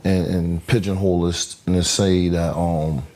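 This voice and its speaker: A man hosting a podcast interview, halting and earnest, with many fillers and restarts. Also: sits low, flat delivery, darkish tone. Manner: halting, many fillers and restarts, says "you know what I'm saying"; devout, earnest. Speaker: podcast host. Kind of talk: interview conversation.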